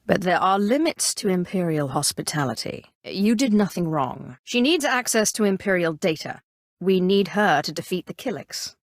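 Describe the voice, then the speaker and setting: An actress playing a cool, ambitious Sith inquisitor in a video game, formal, haughty and commanding in measured, scripted lines. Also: female voice, fairly low pitch, animated, no room sound, studio quality. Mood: haughty, stern.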